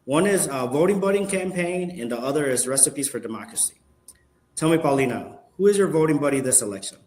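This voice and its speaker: A man's voice: fairly high pitch, expressive, fairly quick, a little mellow. A man hosting a community livestream, asking scripted interview questions in a polite, courteous way. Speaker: livestream host. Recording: roomy echo.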